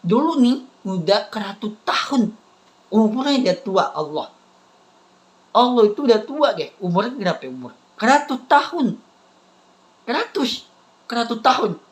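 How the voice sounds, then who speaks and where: A man chats straight to his viewers. He talks in a rambling, halting way and keeps looping back to the same few phrases. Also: high voice, big pitch swings. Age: 30s. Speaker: vlogger. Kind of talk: casual rambling talk to viewers.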